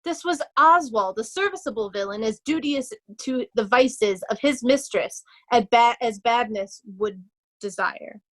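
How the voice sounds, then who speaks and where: A woman playing a loyal young nobleman disguised as a humble poor man, earnest and measured, in readers' theatre Shakespeare. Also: on the high side, a bit bright. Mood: gentle, grave.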